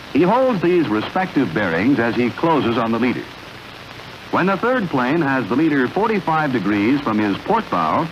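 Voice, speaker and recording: A man giving clipped, precise scripted instruction in an American accent, as narrator of a mid-century military training film. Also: medium pitch. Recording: dull old film soundtrack, loud engine-like noise.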